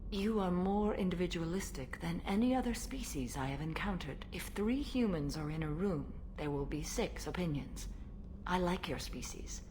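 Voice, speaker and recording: An actress as an ancient alien warrior woman in a video game, serene yet unyielding. Her voice is on the low side, formal and measured, with faint noise behind it. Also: lively intonation, darkish tone, close to an everyday voice. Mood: calm, thoughtful. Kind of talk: video game dialogue acting.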